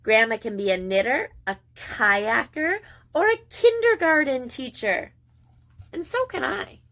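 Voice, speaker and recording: A woman preschool teacher reading a picture book aloud to young children in an expressive, sing-song way with deliberate pauses. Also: sits high.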